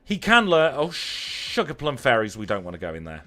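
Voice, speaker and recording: A man with a Lancashire accent talks in a mid-pitched voice over faint music. He is chatty and wry, commenting casually on his game like a streamer talking to viewers, often at his own expense. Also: huge pitch swings, normal speed, sharp tone. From Lancashire, northern England. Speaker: live streamer playing a video game. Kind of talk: casual livestream chat over gameplay.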